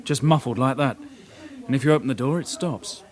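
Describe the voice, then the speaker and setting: A man's voice: mid-range voice, a little fast, a little mellow, close to an everyday voice. An actor playing a plain, puzzled junior policeman in a radio drama, matter-of-fact and informal. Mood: puzzled, reporting.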